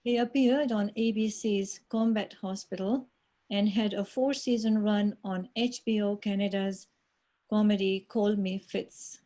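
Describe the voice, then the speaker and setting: Mellow-voiced woman reading a bio. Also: medium pitch, fairly even intonation, unhurried, poor audio. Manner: reads a prepared bio. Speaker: event organizer introducing the moderator. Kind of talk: reading a guest introduction. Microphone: video call.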